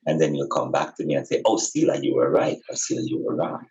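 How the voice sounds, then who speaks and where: A man's voice: a bass voice, fast, darkish tone, lo-fi sound. A man speaking as a spiritual teacher in conversation, earnest and emphatic, repeating phrases for effect.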